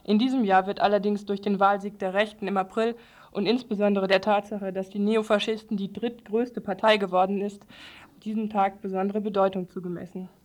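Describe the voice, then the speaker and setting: A woman with a medium-pitched voice presents radio news. She reads prepared items in a studio. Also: little pitch movement, a little fast, darkish tone. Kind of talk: scripted radio news reading.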